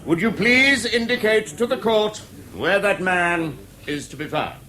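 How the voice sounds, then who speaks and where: An actor with an English accent playing a formal, probing prosecuting barrister in a 1970s British radio drama, deliberate and insistent with weighty pauses. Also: male voice, sits high, lively intonation, pushed and bright, exaggerated voice. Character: probing, theatrical male prosecuting barrister in court. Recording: faintly noisy old radio broadcast.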